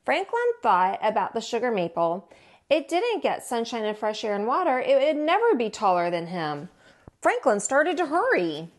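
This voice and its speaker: A woman, a teacher, reading a children's storybook aloud in an expressive way and acting out the dialogue. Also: on the high side, normal speed. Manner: animated, acts out the dialogue. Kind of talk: expressive children's picture-book read-aloud.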